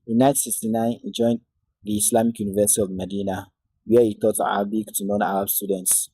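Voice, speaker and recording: A man narrating an introductory Arabic lesson in a measured, devout way, opening and closing with Islamic invocations. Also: lowish voice, steady tone, dark tone, husky, no echo. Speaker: Arabic teacher.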